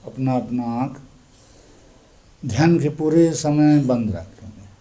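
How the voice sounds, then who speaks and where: Slow, mellow man guiding meditation. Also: mid-range voice. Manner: calm, deliberate, instructive. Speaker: meditation teacher. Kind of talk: guided meditation instruction in a group session.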